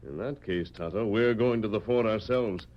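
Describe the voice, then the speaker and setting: A man's voice: fairly low pitch, normal speed, close to an everyday voice. A man playing an upright, resolute masked cowboy hero in an old radio western, earnest and authoritative, with formal diction. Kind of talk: 1940s radio western acting. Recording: dull noisy vintage radio recording.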